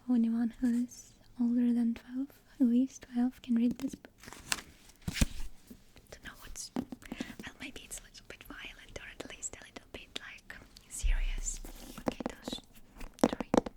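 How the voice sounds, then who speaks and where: A woman in her twenties, a native Russian speaker, whispering in a soft, meandering ASMR ramble close to a desktop condenser mic. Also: fairly high pitch, level tone, unhurried, pauses often, husky. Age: 20s. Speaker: ASMR creator. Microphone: desktop USB condenser mic.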